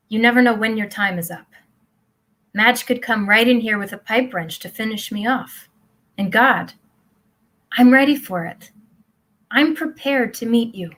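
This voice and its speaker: A woman's voice: mid-range voice, a bit bright. A woman playing a pious, self-congratulating mother who prays aloud in an earnest, rambling, confiding way in a play reading.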